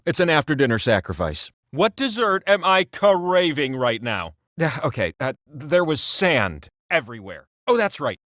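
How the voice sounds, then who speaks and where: An actor voicing a boastful, petty, all-powerful talking wheel, hosting a comedic quiz game with theatrical delivery and chatty, wry asides. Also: male voice, fairly high pitch, fairly bright tone, no room sound, clean studio sound. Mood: indulgent, then musing.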